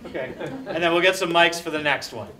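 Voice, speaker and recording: A man moderating a film festival Q&A. He talks briskly and affably, calling on the audience and dropping in short remarks. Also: fairly high pitch, crowd noise. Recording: echoey hall, voice from far off.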